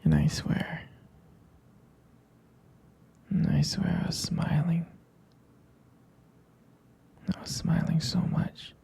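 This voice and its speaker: A man playing a devoted, smitten boyfriend in an intimate ASMR roleplay, speaking in a breathy, husky whisper, hesitant and tenderly repeating his phrases. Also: on the high side, very animated, takes it slowly, pauses often, dark tone, heavily acted. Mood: tender, wistful.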